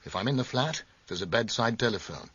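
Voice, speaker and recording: An actor playing an earnest, anxious, concerned friend with emphatic delivery in a radio drama. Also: male voice, medium pitch, expressive, brisk, very noisy, natural voice. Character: jittery, concerned musician friend, urging help. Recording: dull, slightly muffled radio recording.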